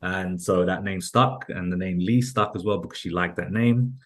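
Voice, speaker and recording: Deep-voiced London man, rambling. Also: normal speed, fairly bright tone. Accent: London English. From London, England. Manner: rambling, many restarts and "like"s. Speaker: guest. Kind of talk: casual interview conversation.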